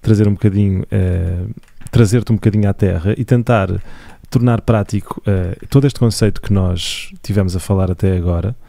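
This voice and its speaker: A man's voice: lowish voice, moderate pace, creaky, warm tone, dry recording. A young man with a European Portuguese accent, co-hosting a casual podcast, laughing often and restarting his sentences. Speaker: podcast co-host.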